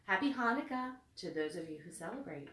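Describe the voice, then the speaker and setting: A woman reading a storybook aloud with expressive, deliberate phrasing meant for children. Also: mid-range voice. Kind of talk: read-aloud storybook narration. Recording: echoey room, distant mic.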